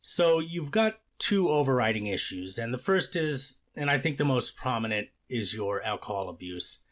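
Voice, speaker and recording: Man as courtroom judge, stern yet paternal, deliberate, admonishing, mid-range voice, amateur reenactment. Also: medium pitch, animated, close to an everyday voice. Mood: firm, advising. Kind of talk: amateur readers theater court transcript reenactment.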